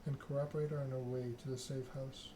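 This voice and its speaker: A man reading a story game aloud in an ASMR style, with hushed, measured narration and dialogue. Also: medium pitch, level tone, background noise.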